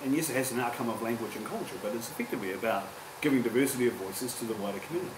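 A New Zealand man in his forties speaking on a public panel, earnest and halting, with frequent restarts. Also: medium pitch. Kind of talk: panel discussion. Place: university lecture room. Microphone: table microphone, distant. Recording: echoey room with background hum.

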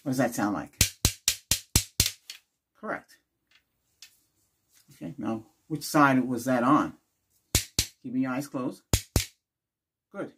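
A man's voice: medium pitch, takes it slowly, long pauses, fairly bright tone, husky, faint music behind. An older man doing an ASMR doctor roleplay, giving short instructions and asking brief questions as in an exam. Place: small indoor room with cloth backdrop.

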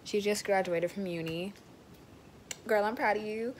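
A woman's voice: mid-range voice, unhurried, slight background noise. Chatty teenage girl vlogging on her phone. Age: late teens. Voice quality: raspy. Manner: chatty, rambling, addresses viewers as guys. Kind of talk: casual vlog chat. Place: small bedroom indoors. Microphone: phone held close at arm's length.

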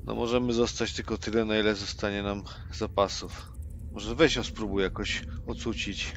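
A man's voice: fairly low pitch, fairly even intonation, moderate pace, background noise, lightly acted. A man playing a brash, sardonic nerd in his thirties, blunt and colloquial, in a roleplaying game. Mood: blunt, impatient.

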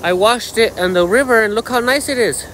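An American man with a notably high voice rambles casually in slang and swearing, full of swagger. He is talking into a phone outdoors, with light ambient noise behind him. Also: a little fast, a bit bright. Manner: slangy, profane, boastful, with repeated catchphrases. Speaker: vlogger. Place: outdoors on a dirt path by a canal. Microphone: phone held at arm's length.

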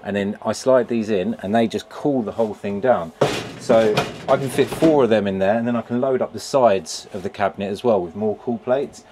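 A man's voice: lowish voice, moderate pace, noisy background. A British man in his thirties, a pizza van owner, chatting casually in a vlog from inside his food van, rambling with lots of ums and restarts. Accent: British English. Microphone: lapel mic, camera on a shelf.

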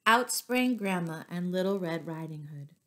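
A middle-aged woman reading picture books aloud expressively to young children, pausing to explain words. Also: fairly low pitch, expressive, pushed and bright. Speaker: children's storyteller and teacher. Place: small room at home, indoors.

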